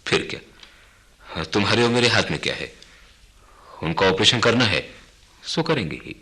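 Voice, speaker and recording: An actor playing a dutiful, resigned young husband and son in a radio drama. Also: male voice, lowish voice, very animated, unhurried, sharp tone, husky, exaggerated voice.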